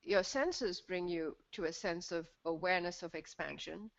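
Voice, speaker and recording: A woman's voice: lowish voice, moderate pace, gravelly. Woman hosting on a dull phone line. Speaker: host of a live call-in. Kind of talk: reflective conversational facilitation.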